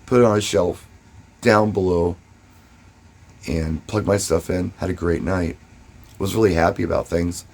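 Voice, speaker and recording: A man speaking casually and emphatically, giving first-person advice and telling stories, with short pauses for effect and a husky voice. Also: deep voice, fairly even intonation, darkish tone. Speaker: DJ and vlogger.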